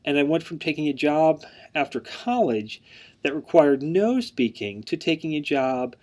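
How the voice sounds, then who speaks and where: A man's voice: fairly high pitch, animated, normal speed, darkish tone. A man in his thirties introducing himself as the instructor of an online course. He speaks earnestly, with a mild stutter, word repetitions and frequent "um" fillers.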